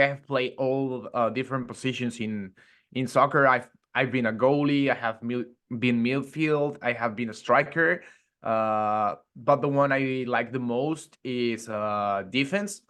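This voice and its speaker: Mexican man chatting casually about his life. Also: mid-range voice. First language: Spanish. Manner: relaxed, many fillers and restarts. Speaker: gym owner, guest. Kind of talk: casual interview chat.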